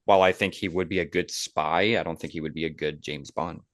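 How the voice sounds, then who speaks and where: A man's voice: low-pitched, steady tone, brisk, dry recording, studio quality. A man hosting a podcast, chatting casually about movies in an informal, opinionated way. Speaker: podcast host.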